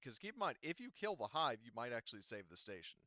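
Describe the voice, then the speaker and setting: A man's voice: medium pitch, big pitch swings, quick pace, sharp tone, dry recording, lo-fi sound. A man running a tabletop roleplaying game as game master, explaining casually in a hedging way and repeating himself.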